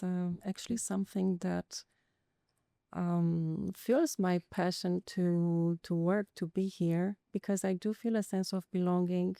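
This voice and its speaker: Gravelly-voiced woman. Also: fairly low pitch, warm tone, dry recording, studio quality. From Romania. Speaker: commercial assistant manager. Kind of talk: podcast conversation.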